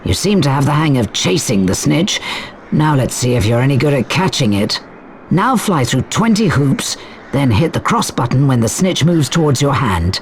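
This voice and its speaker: An actor playing a brisk, formal flying instructor at a wizard school, giving instructions in a video game. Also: male voice, mid-range voice, very animated, a little hiss or hum. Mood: brisk, instructive. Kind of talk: video game tutorial acting.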